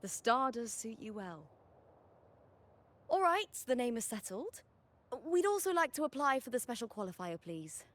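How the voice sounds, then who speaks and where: A woman's voice: a little high, sing-song, moderate pace, fairly bright tone. A woman voicing a confident, spirited young gladiator and friendly battle partner in a video game. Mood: confident, explaining.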